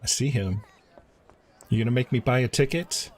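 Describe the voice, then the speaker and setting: A man doing casual live-stream game commentary, muttering to himself and sometimes reading dialogue aloud haltingly. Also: mid-range voice.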